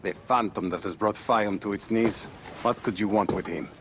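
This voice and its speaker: An actor playing a wary, embattled rebel leader in a video game cutscene, speaking with weighty deliberation. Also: male voice, on the low side, normal speed, lightly acted. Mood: suspicious, menacing. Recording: clearly audible ambient noise behind.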